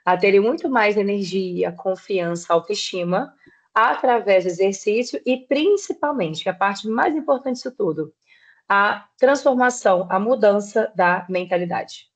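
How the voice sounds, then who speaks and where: A woman in her thirties from southeastern Brazil, a podcast guest explaining her work in a measured way. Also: mid-range voice. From Espírito Santo, Brazil. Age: 30s. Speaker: guest, personal trainer. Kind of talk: podcast interview.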